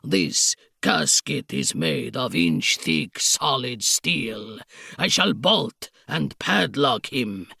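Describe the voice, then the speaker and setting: A woman's voice: rumbling low, big pitch swings, creaky, bright, forceful tone, slightly rough, no room sound, clean studio sound, pitched below the performer's own voice, exaggerated voice. A woman voicing a gloating, villainous count with a thick Eastern European accent, snarling ungrammatical threats in an audiobook. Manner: slow, sneering, snarling.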